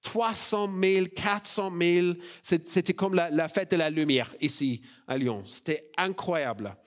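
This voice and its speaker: Australian guy preaching in french, slow, lots of euhs, kinda high voice. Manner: relaxed and personal, many fillers and self-corrections. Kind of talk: sermon.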